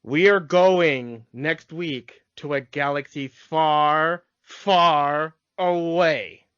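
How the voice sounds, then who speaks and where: A man's voice: fairly high pitch, very slow, fairly bright tone, smooth, no room sound. A man co-hosting a podcast in casual conversation. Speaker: podcast co-host. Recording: dull, dry lo-fi audio.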